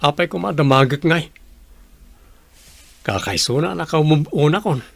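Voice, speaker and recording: A man's voice: on the high side, lively intonation, moderate pace, creaky, fairly bright tone, dry recording, full character voice. A man acting a resigned, plain-spoken village father in an Ilocano radio drama.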